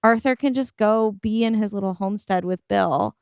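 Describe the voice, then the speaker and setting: A woman's voice: mid-range voice, moderate pace, darkish tone, smooth, no echo, studio quality. A woman on a podcast panel, talking through ideas casually and analytically, with emphatic, informal phrasing.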